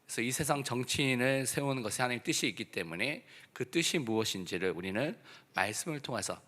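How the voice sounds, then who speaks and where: A man preaching a church sermon as pastor, exhorting emphatically in a voice hoarse from a throat cold. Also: medium pitch, expressive, fast talker, bright, forceful tone. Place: church service.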